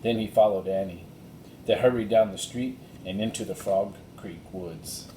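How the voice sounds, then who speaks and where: A man narrating a children's storybook as a reader, recorded in an echoey room. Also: moderate pace. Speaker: audiobook reader. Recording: roomy echo, faint rumbling noise.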